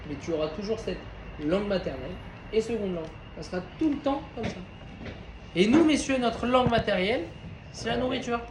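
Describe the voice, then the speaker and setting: A man's voice: sits high, noisy background. A man giving an informal Torah class to a group of men, talking conversationally and often checking in with his listeners. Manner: conversational, questioning, addresses listeners directly. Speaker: Torah teacher. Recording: roomy echo with faint ambience.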